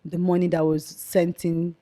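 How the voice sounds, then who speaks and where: Outspoken Nigerian woman, low voice. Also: level tone, a little slow. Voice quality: loud voice. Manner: earnest and outspoken. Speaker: guest, activist. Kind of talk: conversational interview.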